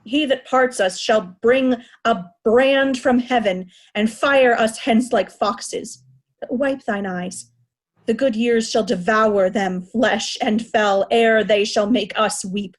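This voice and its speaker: A woman takes the role of an aged fallen king in a stage tragedy, tender but defiant. The voice is on the high side and moves slowly, weighting the old verse with emphasis. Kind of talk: Shakespearean verse read in reader's theatre.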